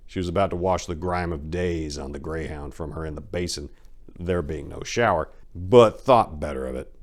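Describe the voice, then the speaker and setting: Male narrator, low voice, gritty crime-fiction reading, theatrical and sardonic. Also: normal speed. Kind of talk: dramatic reading of a crime story.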